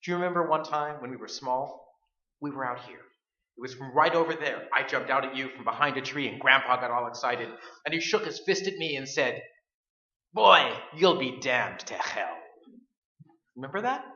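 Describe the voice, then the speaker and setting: A man's voice: medium pitch, animated, moderate pace, bright, forceful tone. A man playing a mocking, irreverent brother in a staged radio play, with a sardonic, taunting manner. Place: stage, live performance. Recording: roomy, muffled stage recording.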